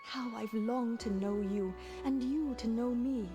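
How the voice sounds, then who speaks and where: An actress playing a gentle noble mother turned swan in an adventure game, speaking in a measured, formal, tender way. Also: a little high, over music, natural voice. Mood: tender, grave.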